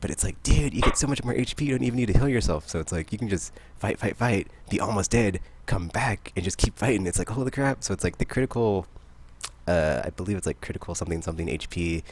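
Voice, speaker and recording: A man hosting a podcast, chatting about games in a rambling, excitable way. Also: fairly low pitch, fast, background noise. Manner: rambling, excitable, laughs often. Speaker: podcast host. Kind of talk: casual gaming chat.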